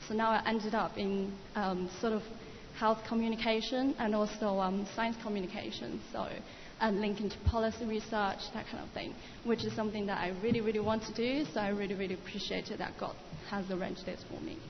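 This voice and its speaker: A woman's voice: mid-range voice, fairly even intonation, brisk. A young woman from Shenzhen introducing herself informally to a group, chatty and a little hesitant, in a large reverberant room. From Shenzhen, China. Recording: dull, hissy, echoey hall recording.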